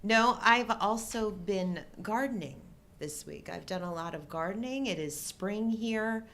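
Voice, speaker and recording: A woman's voice: mid-range voice, expressive, unhurried, noisy background. A middle-aged woman chatting casually as a co-host and vlogger. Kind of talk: casual chat.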